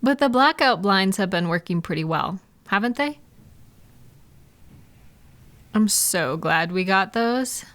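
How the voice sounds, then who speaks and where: A woman's voice: mid-range voice, animated, moderate pace, lightly acted. A woman playing a caring, chatty girlfriend in an intimate one-sided roleplay audio, talking affectionately and reassuringly to a partner. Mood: affectionate, playful. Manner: soft-hearted, reassuring, playful, affectionate. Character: caring, gentle, chatty girlfriend comforting a night-owl partner.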